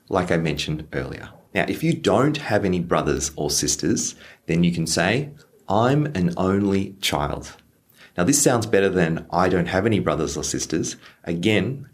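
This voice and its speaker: Male English tutor, deep and animated, clear for learners. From Australia. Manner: lively, stresses example phrases slowly. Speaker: English teacher. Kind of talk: clear teaching explanation for learners.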